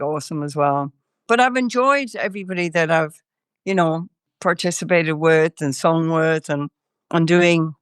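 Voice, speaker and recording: Older Irish woman, smooth voice, chewing gum. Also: lowish voice, dry recording, clean studio sound. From Ireland. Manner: rambling, many fillers and restarts; chewing gum while talking. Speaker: guest singer. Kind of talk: casual interview chat.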